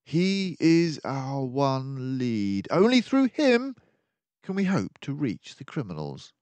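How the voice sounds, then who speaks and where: A man voicing a brilliant, excitable, commanding mouse detective in a children's storybook, speaking in an emphatic, theatrical way. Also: mid-range voice, takes it slowly, dry recording, pitched above the performer's own voice. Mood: excited, decisive. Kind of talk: children's storybook read-aloud.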